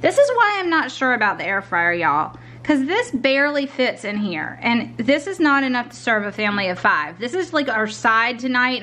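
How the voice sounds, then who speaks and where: A woman's voice: fairly high pitch, a bit bright, slight background noise. A woman in her thirties chatting through a cook-along in her home kitchen, often calling the viewers y'all. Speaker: cooking vlogger. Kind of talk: casual cook-along narration.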